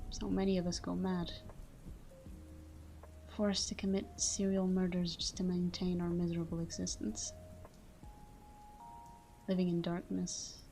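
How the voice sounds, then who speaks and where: A caring but tormented vampire woman played by an actress murmurs to her lover in an ASMR roleplay. Her tone is dark and velvety, with little rise or fall, often dropping toward a whisper. Faint music runs behind her. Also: medium pitch, lightly acted. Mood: wistful, regretful. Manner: soft, tender, breathy murmur slipping into whispers. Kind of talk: intimate scripted ASMR roleplay monologue.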